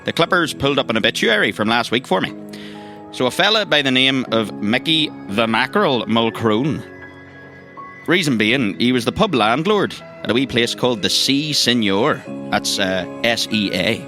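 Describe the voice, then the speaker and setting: An actor playing a chatty, thirsty agency boss in a comedic audio drama, with a Northern Irish accent and a rambling, hesitant, colloquial way of talking. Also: male voice, mid-range voice, expressive, moderate pace, bright, forceful tone, faint music behind. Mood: chatty, eager. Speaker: mission giver briefing recruits.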